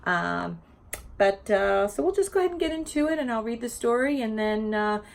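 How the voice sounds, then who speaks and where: A woman's voice: on the high side, lively intonation, normal speed, creaky. A woman teacher giving an informal, explanatory lesson built around reading a children's picture book aloud. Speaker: teacher presenting educational read-aloud videos for teachers.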